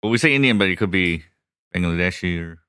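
A man's voice: sits low, expressive, fast talker, pushed and bright, smooth, dry recording. A man co-hosting a podcast, chatting casually and joking with friends. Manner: joking, teasing. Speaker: podcast co-host. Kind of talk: casual chat.

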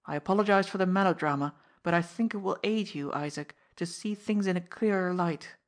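A woman voicing a masked gentleman thief who speaks softly, coolly and with mocking politeness. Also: lowish voice, brisk, natural voice. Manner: soft, cool, politely mocking.

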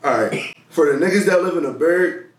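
A young man talks in slangy, animated bursts. He sits away from the mic, so his voice sounds distant. The room echo is strong. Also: on the high side, brisk. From Philadelphia. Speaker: guest rapper. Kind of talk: casual chat with friends. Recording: echoey room, heard from afar.

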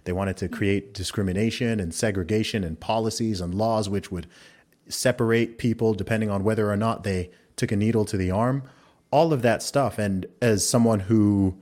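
A podcast host with a very deep, low voice, speaking in a measured and reflective way. He grew up in Saudi Arabia and builds long, thoughtful arguments in conversation with his guest. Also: fairly even intonation, a little mellow. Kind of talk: conversational interview.

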